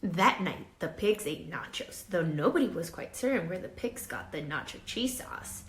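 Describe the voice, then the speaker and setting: A woman reading a children's picture book aloud expressively, with dramatic emphasis and hushed asides, pausing to question young listeners like a teacher. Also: fairly low pitch, animated. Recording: roomy echo with faint background noise.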